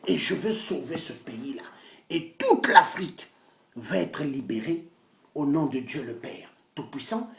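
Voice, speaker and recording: A man's voice: a little high, animated, a little slow, gravelly. A man delivers an impassioned political monologue, declamatory and boastful, repeating phrases for emphasis. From Congo-Brazzaville. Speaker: self-styled pastor and political activist. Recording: echoey room sound.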